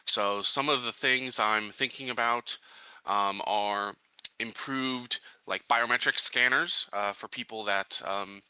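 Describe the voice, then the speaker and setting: A man playing a tabletop roleplaying game, speaking casually and haltingly as he searches for words. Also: fairly low pitch, steady tone, bright, forceful tone, smooth, rough recording.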